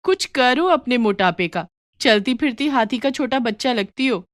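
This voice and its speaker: A woman's voice: a little high, lively intonation, normal speed, no room sound, clean studio sound, lightly acted. A woman voicing a cheeky, teasing younger sister who talks casually and mockingly in a moral story.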